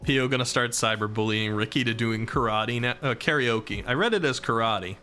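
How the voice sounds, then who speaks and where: A young man streaming live, chatting casually about himself with a rambling, self-deprecating manner, his voice starting to go hoarse from talking so long. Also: medium pitch, moderate pace, pushed and bright, soft background music. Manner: rambling and self-deprecating, reading chat and laughing.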